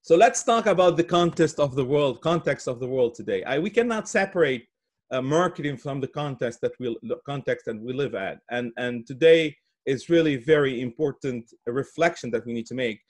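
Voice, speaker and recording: Halting male presenter from Brazil. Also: medium pitch, animated. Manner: halting, with frequent pauses and repeated words. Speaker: guest speaker giving opening remarks. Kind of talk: presentation at an online summit. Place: indoor office, on a video call. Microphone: video call.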